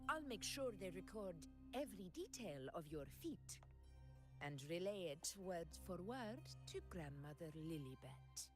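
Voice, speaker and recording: A woman's voice: on the low side, sing-song, measured pace. Proud warrior queen, italian accent, imperious n sardonic, big reverby hall w music. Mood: wry, composed. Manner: imperious, declamatory. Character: commanding proud female ruler, iron-willed, coolly sardonic. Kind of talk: scripted game dialogue. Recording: echoing hall, music behind.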